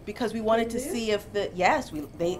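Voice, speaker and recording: A middle-aged woman born in Jamaica, a professor answering interview questions in a lively, storytelling way. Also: fairly high pitch, a little fast, slight background noise. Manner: animated storytelling, gets louder and laughs when amused. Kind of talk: conversational interview. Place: bookstore, seated in armchair indoors.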